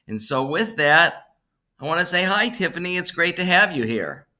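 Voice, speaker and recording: Male interview host, bright tone, unscripted, halting delivery with frequent restarts. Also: on the high side, very animated. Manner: halting, repeats words and restarts phrases. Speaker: podcast host, bookstore owner. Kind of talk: unscripted podcast introduction and interview.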